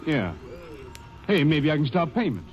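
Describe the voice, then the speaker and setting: An actor playing a defensive rancher in a radio drama, talking in a colloquial drawl. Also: male voice, a little high, big pitch swings, normal speed, highs cut off, faint music behind. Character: nervous, defensive male rancher.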